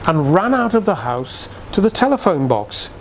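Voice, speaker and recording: A man reading a literary story aloud in a dramatic, measured storytelling manner. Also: high voice, big pitch swings, a little hiss or hum. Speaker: reader. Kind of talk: expressive literary reading of a nostalgic Christmas story.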